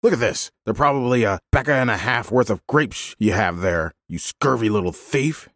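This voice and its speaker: Guy doing a stingy bullying farmer for an audio story, ranting, very animated, dry recording. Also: low-pitched, moderate pace, dry recording. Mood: scornful anger. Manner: ranting, scornful. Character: angry, bullying, miserly male vineyard owner. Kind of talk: dramatised storybook narration.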